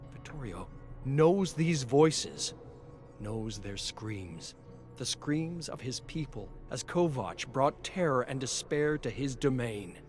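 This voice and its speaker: A man reading a scripted story in a theatrical, intense way that moves between hushed and urgent. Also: medium pitch. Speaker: narrator. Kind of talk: dramatic scripted story narration. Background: background music.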